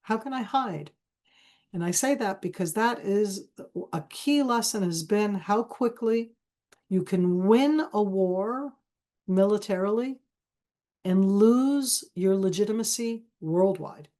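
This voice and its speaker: A woman from Israel who has a cold, talking as a panel guest at an ordinary pitch. She is emphatic, repeats key phrases for weight, and restarts her sentences often. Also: expressive, slow, dark tone. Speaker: guest speaker on a webinar panel. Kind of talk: conversational analysis in an online Q&A.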